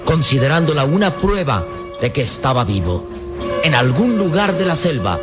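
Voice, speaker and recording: A man's voice: a little high, very animated, moderate pace. A man with a Mexican accent giving dramatic scripted narration as narrator of a radio adventure serial. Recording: loud music and effects behind.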